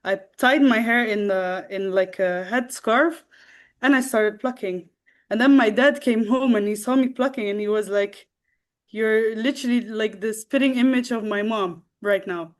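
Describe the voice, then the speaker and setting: Young woman, halting and earnest. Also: a little high. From Netherlands. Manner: halting, with many fillers and restarts. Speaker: guest. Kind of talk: casual storytelling.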